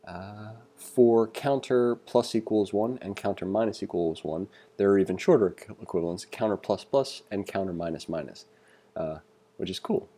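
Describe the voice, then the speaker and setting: A man's voice: on the low side, moderate pace, warm tone. A man giving a casual screencast tutorial, reading along and thinking aloud in an informal, conversational way as an instructor.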